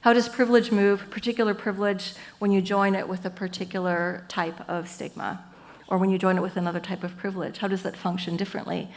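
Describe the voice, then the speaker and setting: A woman professor giving an academic lecture at a symposium, lively and earnest, explaining ideas through everyday metaphors. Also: medium pitch, quick pace. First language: English. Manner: lively and earnest, with frequent "you know" and "um".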